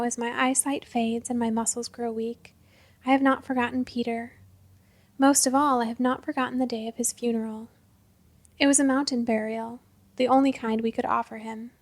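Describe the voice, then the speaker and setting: High-voiced woman narrating a literary story. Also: a little mellow.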